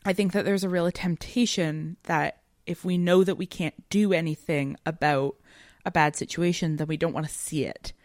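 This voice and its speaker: A woman's voice: fairly low pitch, steady tone, normal speed, few pauses, no echo, clean studio sound. A woman co-hosting a podcast, talking through a literary analysis in an emphatic, reflective way with academic phrasing. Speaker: podcast co-host. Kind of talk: analytical literary discussion, conversational.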